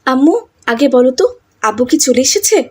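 Woman voicing a breezy high-pitched teenage girl schemer. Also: expressive, moderate pace, a little mellow, slightly rough. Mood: relieved. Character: scheming, flippant teenage older sister dreaming of fame.

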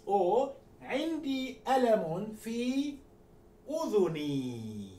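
A middle-aged man teaching a language lesson at a whiteboard. He goes slowly, repeats each phrase several times, and says the model phrases louder and higher. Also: sing-song. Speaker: Arabic teacher. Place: room in front of a whiteboard. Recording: roomy, distant, faint hiss.

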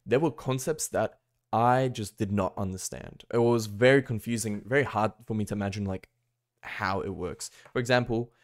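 A man's voice: lowish voice, moderate pace. A young man from Australia, casually vlogging about learning languages from his bedroom, rambling with lots of fillers. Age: young adult. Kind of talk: casual talking-head vlog. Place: small bedroom, indoors.

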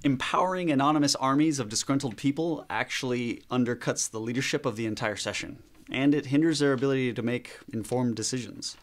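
An actor in his thirties playing a calm young pastor in a training film, explaining in a measured way. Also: medium pitch, natural voice. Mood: instructive. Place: small meeting room.

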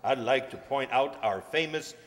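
A man's voice: mid-range voice, moderate pace, a bit bright, lightly acted. An older man playing a pushy, talkative bus tour guide, declaiming his patter in old-time radio comedy style. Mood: showy. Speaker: amateur radio-play actor. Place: large indoor stage hall, stand mic. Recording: reverberant hall, stage microphone.

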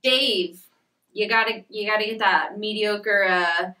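Slow, teasing young woman, off-mic. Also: medium pitch, steady tone, sharp tone, roomy sound, distant mic, rough recording. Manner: teasing, wry interjections. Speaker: co-host. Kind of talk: casual podcast banter. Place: small room at home, indoors.

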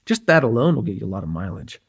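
Man acting a breathy, cocky young male vampire. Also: lowish voice, sing-song, fast, heavily acted. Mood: musing, excited. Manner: rambling, playful, slangy, hesitant asides. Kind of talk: immersive ASMR roleplay acting, casual and conversational.

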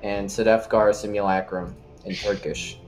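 Low-voiced man bantering over a game. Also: level tone, moderate pace, a little mellow. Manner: joking, crude banter. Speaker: tabletop game player. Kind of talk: casual game chat. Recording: music behind the voice. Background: background music.

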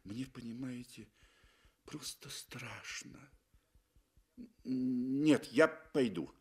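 Male actor playing nervous wealthy man on safari, radio play. Halting, slow, uneasy, unsure of himself. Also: medium pitch, darkish tone, husky, full character voice. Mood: frightened. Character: frightened, hesitant rich man on safari.

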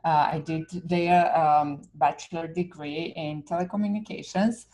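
A woman on a video call from home. Her voice is low and she talks slowly. She pauses often on "um" and "uh" as she describes her background. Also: fairly even intonation, darkish tone, poor audio. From Romania. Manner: hesitant, many "um" and "uh" fillers. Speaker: panelist, researcher. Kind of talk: academic panel talk. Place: home room. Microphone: laptop webcam on a video call.

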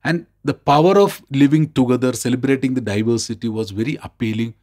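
A man with an Indian accent talks as an expert in conversation. His voice sits at a medium pitch, and he stresses his points, often circling back to rephrase them. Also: brisk, darkish tone. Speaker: expert guest co-host. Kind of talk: thoughtful conversational explanation.

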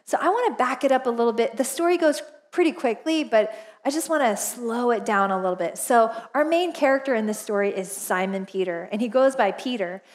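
Woman pastor, 40s, high bright register, animated storyteller with many fillers, headset mic in a church auditorium. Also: a little fast. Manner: chatty and animated, laughs often, lots of fillers. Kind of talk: casual storytelling sermon. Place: church stage, large indoor auditorium.

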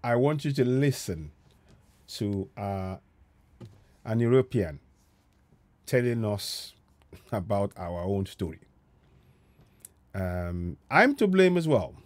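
A man's voice: lowish voice, very animated, slow, long pauses, darkish tone, no echo. A middle-aged man hosting his own online show, earnestly reflecting on a video and repeating phrases for emphasis, speaking through a headset. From Yoruba Nigeria. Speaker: host. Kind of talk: reflective commentary on a played video. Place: home room with headset. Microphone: headset mic.

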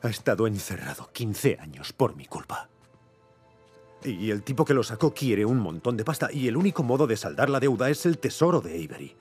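A man's voice: mid-range voice, very animated, a little fast, a little mellow, husky, faint music behind. An actor playing a guilty, stubborn adventurer in his thirties in a dubbed game, hesitant and pleading, with a Castilian Spanish accent. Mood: sheepish, explaining. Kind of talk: dubbed video game cinematic acting.

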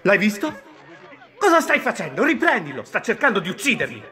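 A man's voice: sits high, wildly swooping pitch, fairly quick, bright, forceful tone, exaggerated voice. A man dubbing a pushy, sneering paparazzi newsman in for an amateur film dub. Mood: excited.

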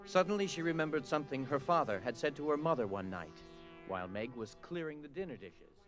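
A man's voice: fairly high pitch, animated, fairly quick, a bit bright, soft background music. A man narrating a scripted story for an audio drama.

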